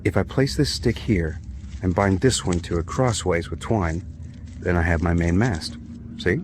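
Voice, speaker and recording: An actor playing a weary, steady captured American sailor in a radio drama, speaking in a measured way. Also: male voice, low-pitched, slight background noise. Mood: weary, calm.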